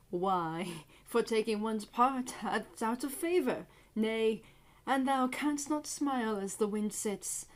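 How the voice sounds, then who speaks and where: A woman acts out a cheeky court jester from classical theatre, mocking and full of riddles. The voice sits fairly high, with slow, teasing, theatrical delivery. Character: impudent court fool, witty, mocking, riddling, needling an old master. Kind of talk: Shakespearean stage monologue.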